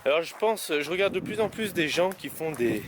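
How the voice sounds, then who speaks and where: A man's voice: on the high side, lively intonation, brisk. Rambling man vlogging outdoors in wind. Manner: rambling, many hesitations and restarts. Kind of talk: casual vlog talk while hiking. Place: outdoors on mountain trails in wind. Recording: loud wind noise on the mic.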